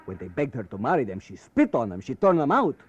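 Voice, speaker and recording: A man playing a proud, desperate middle-aged father with a Mexican accent in a 1950s radio drama, speaking in halting, florid English. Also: medium pitch, wildly swooping pitch, breathy edge, no room sound. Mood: bitter, impassioned. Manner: halting, florid, impassioned foreign-English phrasing. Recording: dry, dull vintage radio recording.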